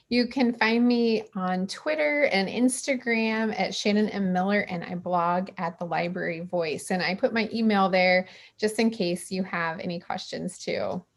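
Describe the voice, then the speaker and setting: A school librarian from Iowa opening and hosting a webinar in an upbeat, welcoming way. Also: female voice, medium pitch. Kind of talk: webinar presentation.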